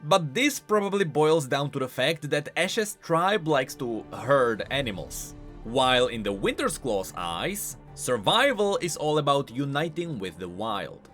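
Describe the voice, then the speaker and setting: A man hosting a video game lore explainer, speaking in an animated, emphatic way. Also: mid-range voice, unhurried, hardly any pauses. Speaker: host of a game lore channel. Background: music.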